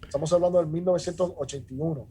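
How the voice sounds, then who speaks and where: A middle-aged man from Rico, a judge talking earnestly in a measured way with many restarts and filler sounds. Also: a little high, steady tone, warm tone, husky. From Puerto Rico. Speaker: judge, interview guest. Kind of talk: casual interview talk.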